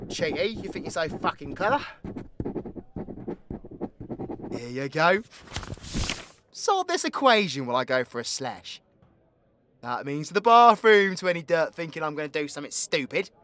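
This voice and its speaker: The foul-mouthed British supervillain at the end of the pub bar in an audio drama, acted by a man, teasing crudely, higher-pitched and swinging all over. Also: background noise. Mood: cocky, teasing. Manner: crude, bantering, slangy. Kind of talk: ASMR audio roleplay acting.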